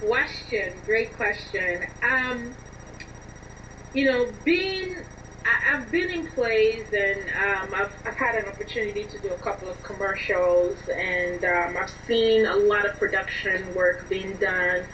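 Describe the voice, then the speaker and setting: A woman answering interview questions conversationally, in an expressive, emphatic way. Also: mid-range voice, a little slow. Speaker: interview guest, scriptwriter and film blogger. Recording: dull, distant, noisy line.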